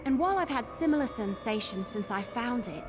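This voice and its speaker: A woman's voice: a little high, expressive, fairly quick, natural voice. A woman voicing an earnest, eager young adventurer in a fantasy video game, speaking in a candid, informal way. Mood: uneasy, confiding. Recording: music behind the voice.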